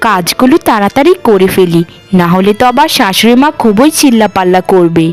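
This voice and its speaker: A woman's voice: medium pitch, animated, fairly quick, soft background music, lightly acted. A woman voicing a meek, sorrowful young new bride in a family cartoon, plaintive and pleading. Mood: weary, plaintive. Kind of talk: Bengali family cartoon dialogue.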